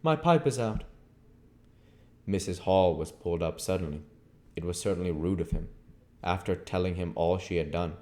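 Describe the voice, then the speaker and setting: A man with a low-pitched voice reads a story aloud. He narrates in an audiobook style, working through literary prose. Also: flat delivery, fairly quick, few pauses, mellow. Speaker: audiobook reader.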